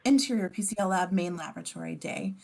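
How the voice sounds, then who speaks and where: A woman's voice: lowish voice, quick pace, creaky, a little mellow. A woman narrating as scene description reader, reading screenplay stage directions aloud at a table read.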